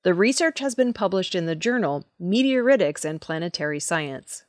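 A woman's voice: lowish voice, animated, moderate pace, loud music behind the voice. A woman presenting scripted news narration, reading as a news presenter.

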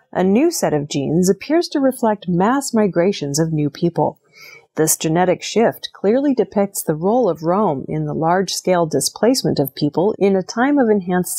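A woman presenting scripted news in a measured, formal read delivery. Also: on the low side, expressive, mellow.

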